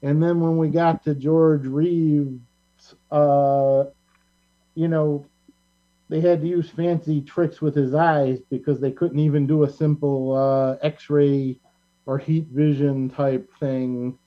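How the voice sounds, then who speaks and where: A man hosting a casual pop culture discussion, talking in a halting, rambling, opinionated way. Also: a little high, little pitch movement. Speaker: talk show host. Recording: band-limited, dull lo-fi sound.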